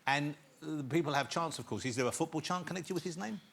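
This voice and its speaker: A man hosting a talk show, interviewing guests with a halting, repetitive way of phrasing questions. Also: fairly high pitch, gravelly, fairly bright tone, breathy edge. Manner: hesitant, repeats words.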